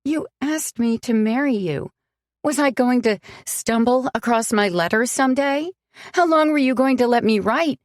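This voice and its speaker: Audiobook figure: woman reading a hurt young woman, sharp-edged, dry close sound. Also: fairly high pitch, moderate pace, no room sound, pitched above the performer's own voice, lightly acted. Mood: upset.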